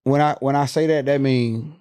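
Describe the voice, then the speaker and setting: A man's voice: medium pitch, steady tone, moderate pace, dark tone, smooth, no room sound. A middle-aged man co-hosting a podcast, talking bluntly in casual slang and leading the questions. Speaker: podcast co-host. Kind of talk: casual chat.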